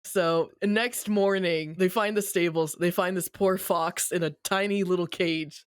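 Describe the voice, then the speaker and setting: A woman's voice: lowish voice, fairly even intonation, smooth, no room sound, clean studio sound. Laid-back woman co-hosting a podcast. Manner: loose and swearing, quick to laugh. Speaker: podcast co-host. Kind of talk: casual chat recapping a game story. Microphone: podcast microphone.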